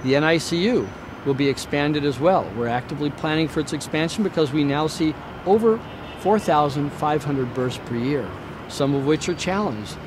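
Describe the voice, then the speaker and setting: Man, executive presenter, formal and measured, deliberate phrasing, outdoor recording, clear traffic and ambient noise. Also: fairly high pitch. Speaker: hospital executive giving a facility update. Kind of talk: prepared newsreel presentation to camera.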